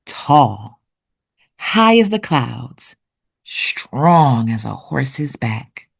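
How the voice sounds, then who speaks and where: A woman reading a children's picture book aloud expressively, with deliberate storytelling pauses. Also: rumbling low, very animated, creaky, slightly rough. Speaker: children's read-aloud narrator.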